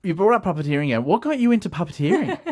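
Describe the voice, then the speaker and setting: A man hosting a radio interview, relaxed, with plenty of uhs. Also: high-pitched, very fast. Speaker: radio host.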